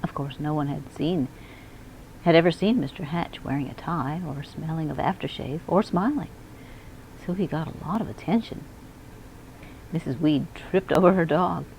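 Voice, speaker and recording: A woman reading a children's picture book aloud in an expressive storytelling manner. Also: low-pitched, normal speed, vocal fry, slight background noise, low-quality recording. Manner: expressive, acts out dialogue and emphasis. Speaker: school media specialist reading aloud.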